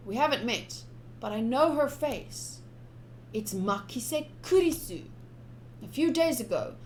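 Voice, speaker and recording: A woman streaming a game, reading story text aloud in an expressive, chatty way with casual asides. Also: fairly high pitch, a little hiss or hum. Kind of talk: live visual novel playthrough, reading aloud with casual asides. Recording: soft music behind voice. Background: game music.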